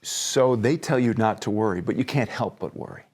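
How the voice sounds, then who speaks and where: A man hosting a podcast interview who asks gentle questions, often restarting and repeating words. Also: lowish voice, normal speed. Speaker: podcast host.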